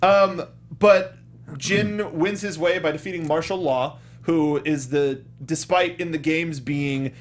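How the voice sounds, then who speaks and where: Higher-voiced man chatting on a show. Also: fairly even intonation, takes it slowly. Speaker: podcast host. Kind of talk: casual chat recapping a film.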